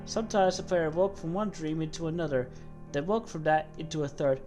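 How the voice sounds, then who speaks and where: A woman voicing a solemn godlike storyteller, giving a measured, dramatic reading of game dialogue. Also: sits low, mellow, soft background music, lightly acted. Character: low-voiced godlike cosmic being, solemn storyteller.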